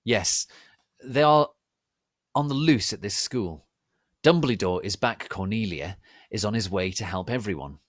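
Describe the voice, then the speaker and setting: A man's voice: on the low side, lively intonation, measured pace, long pauses, no room sound, clean studio sound. A man voicing a worried adult female witch professor in an earnest, urgent manner.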